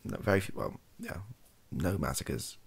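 Deep, gravelly, soft-spoken British man. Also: expressive, moderate pace. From Wales, UK. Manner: rambling, self-interrupting, dryly humorous. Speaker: comedy ASMR video creator. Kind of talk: improvised, softly spoken ASMR-style comedic commentary. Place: small cluttered bedroom or home office, indoors.

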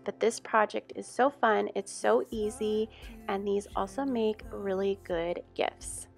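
A relaxed woman in her thirties walks through a simple home project step by step, with easy fillers along the way. Her voice has a gravelly edge, and soft music sits faintly behind her. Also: mid-range voice, measured pace. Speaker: home vlogger. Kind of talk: casual tutorial voice-over.

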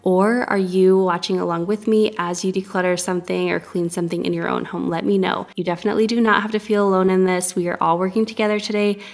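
A woman's voice: mid-range voice, steady tone, fast talker, hardly any pauses. A young woman vlogging about cleaning her home, chatting with viewers in an eager, direct way. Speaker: homemaking vlogger. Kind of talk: upbeat cleaning vlog talk.

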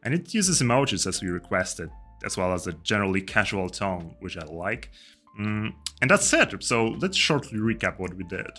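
A young man giving a casual step-by-step software tutorial, speaking into a close desk microphone indoors. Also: on the low side, sing-song, moderate pace. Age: young adult. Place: indoor room. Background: music.

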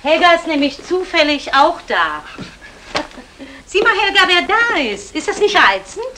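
A doting, fussy middle-aged mother in a 1960s radio play. Her voice is high and very animated, effusive and nagging. The old recording is clearly noisy. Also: normal speed. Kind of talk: 1960 German radio comedy acting.

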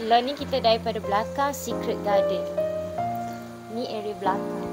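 A woman's voice: on the high side, moderate pace, music in the background. A young adult woman chatting casually outdoors, a little away from the camera. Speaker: vlog companion. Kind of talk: casual chat. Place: outdoors in forest park by a cave. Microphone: away from camera held by companion.

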